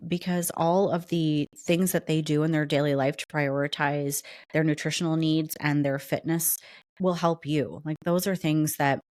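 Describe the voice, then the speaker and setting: A woman co-hosting a podcast, explaining things in a steady, casual way. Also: deep voice, normal speed, dry recording, studio quality. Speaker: podcast co-host.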